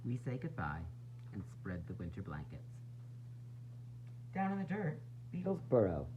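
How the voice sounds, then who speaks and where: A man reading a children's picture book aloud in an expressive, dramatic, sing-song way, with varied emphasis. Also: on the low side, normal speed. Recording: dull lo-fi sound, faint noise and hum.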